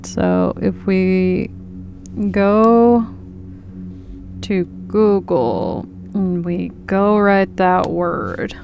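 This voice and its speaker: A woman's voice: on the low side, drawn-out words, darkish tone, dull audio, faint music behind. A woman casually reading a visual novel aloud as she plays, stumbling over lines and correcting herself. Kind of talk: casual let's-play reading of game dialogue. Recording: clear background noise.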